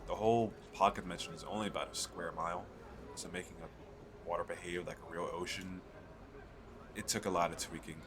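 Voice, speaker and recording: A man playing an eager, chatty fantasy merchant in an ASMR roleplay, pitching his magical wares to the listener in a coaxing, conversational way. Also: fairly even intonation, a little fast, background noise, natural voice. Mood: proud, enthusiastic. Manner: coaxing salesman, conversational, hesitant asides.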